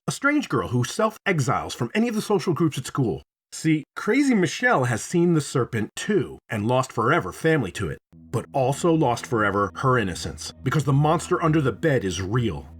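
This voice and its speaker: A man reviewing a video game in scripted narration, dramatic and playful, with emphatic phrasing and drawn-out words. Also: mid-range voice, sing-song.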